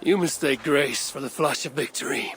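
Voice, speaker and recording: An actor playing a hardened Viking leader, gravelly, blunt and commanding, in a video game. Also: male voice, on the high side, normal speed, fairly bright tone, slight background noise, lightly acted. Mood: grim, weary. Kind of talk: dramatic video game acting.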